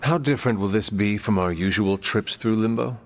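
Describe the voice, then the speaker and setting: Man playing an earnest, low-voiced game hero. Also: normal speed, close to an everyday voice. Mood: calm. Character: earnest, supportive hero, calm and curious companion. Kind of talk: dramatic video game acting. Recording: clear background noise.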